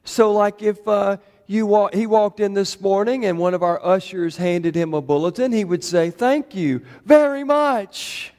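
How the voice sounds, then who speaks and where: A middle-aged man, a pastor, preaching a chatty sermon in a church, his voice a bit hoarse from shouting, full of fillers and restarts. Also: high voice, fairly even intonation, normal speed, hardly any pauses. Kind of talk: conversational sermon. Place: church sanctuary. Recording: long reverb of a church hall.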